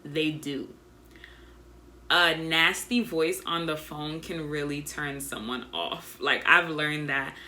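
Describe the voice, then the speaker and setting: Soft-spoken chatty young woman, bright tone. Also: deep voice, takes it slowly. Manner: soft, doesn't project, monotone by her own account; chatty and animated, addresses viewers as y'all. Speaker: vlogger. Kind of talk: casual storytelling to camera.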